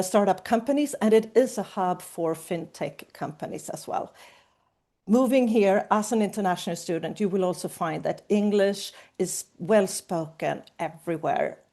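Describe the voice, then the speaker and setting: A woman presents a webinar over a video call. Her voice is mellow and warm, at a mid pitch. She speaks in a clear, upbeat way as she guides the audience through the session.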